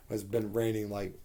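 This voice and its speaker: A man with a hoarse voice giving a rambling, candid spoken TV review, with plenty of fillers and the odd curse. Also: lowish voice, dark tone, a little hiss or hum.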